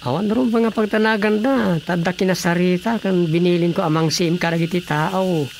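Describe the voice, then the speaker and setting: Low-voiced, firm village investigator played by a woman. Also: fairly even intonation, normal speed, a little mellow, slight background noise, lightly acted. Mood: businesslike. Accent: Ilocano. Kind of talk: Ilocano radio drama acting.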